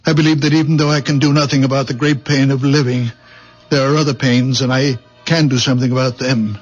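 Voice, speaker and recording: A man acting in a radio drama as a gentle, troubled old village healer, speaking haltingly, pleading and repeating himself. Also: mid-range voice, fairly even intonation, faint music behind, close to an everyday voice. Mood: sorrowful, fervent. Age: older. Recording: dull, muffled old radio recording.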